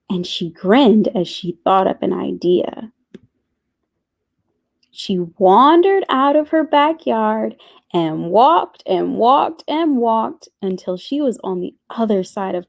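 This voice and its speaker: Slow, deliberate woman storyteller. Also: on the high side, gravelly. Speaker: children's storyteller. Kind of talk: scripted storybook narration.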